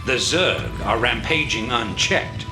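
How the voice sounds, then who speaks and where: An actor playing a calculating, persuasive rebel leader in a video game, speaking with measured authority. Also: male voice, mid-range voice, animated. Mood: commanding. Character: commanding, calculating rebel leader, persuasive middle-aged man. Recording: echoey, loud music behind. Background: loud music.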